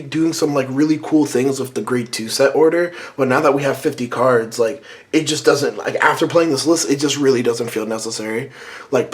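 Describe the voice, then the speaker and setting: A hoarse man casually reviewing a card game deck to camera, rambling with lots of "um" and "like". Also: mid-range voice. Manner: rambling, many "um" and "like" fillers.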